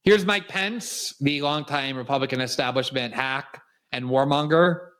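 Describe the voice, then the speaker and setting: A man's voice: mid-range voice, measured pace, a bit bright, clean tone. A man from South Florida hosting a political commentary show, delivering an emphatic, indignant, cutting monologue. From South Florida, USA. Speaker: host.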